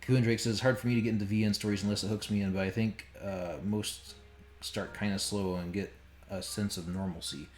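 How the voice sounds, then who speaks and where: A man with a low voice chats through a live game stream in a rambling, opinionated way. The room is echoey, and game music plays faintly behind him. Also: fairly even intonation, a little fast. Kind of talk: casual live stream commentary. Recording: roomy sound under game audio.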